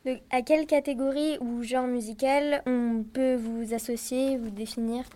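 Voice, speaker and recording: A woman working as a student radio reporter, asking careful, measured interview questions. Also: sits high, steady tone, warm tone.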